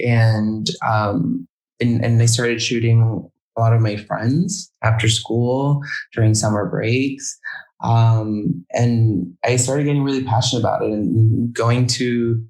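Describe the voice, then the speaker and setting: Brief: young male guest, mid-pitched voice, halting and stop-start delivery. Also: a little mellow, low-quality recording. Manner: hesitant, halting with many restarts. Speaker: guest photographer. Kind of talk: casual interview chat.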